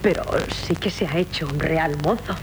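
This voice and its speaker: Actress as fussy housekeeper, faint hiss behind. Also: female voice, deep voice, moderate pace, close to an everyday voice. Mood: flustered, deferential. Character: fussy, outspoken, motherly housekeeper nursemaid. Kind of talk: 1970s Spanish radio theatre acting. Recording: faintly noisy 1970s radio recording.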